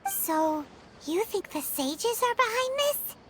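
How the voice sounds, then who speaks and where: A tiny excitable fairy companion from a video game, extremely high and squeaky like a small child. The pitch bounces around in a lively way, over clear background noise. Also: female voice, takes it slowly, heavily acted. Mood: curious. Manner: speaks of self in third person. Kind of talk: English video game voice acting.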